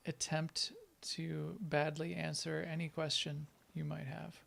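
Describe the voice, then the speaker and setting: A man talks casually through a live game stream, answering questions from viewers as he plays. His voice is strongly gravelly and sits a little high. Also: level tone, a little slow, a bit bright. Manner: chatty, answers viewers while playing.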